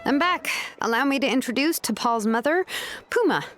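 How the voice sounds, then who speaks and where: A woman's voice: sits high, lively intonation, normal speed, a bit bright, soft background music. Easygoing, wry tribeswoman. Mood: brisk, friendly. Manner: casual, wry, explanatory. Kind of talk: video game voice acting.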